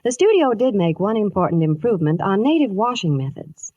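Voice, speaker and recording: A woman's voice: medium pitch, very animated, a little fast, mellow, no room sound, dull audio. A woman reading scripted Hollywood news and sponsor copy in a 1950s radio style. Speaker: Hollywood reporter and sponsor pitchwoman.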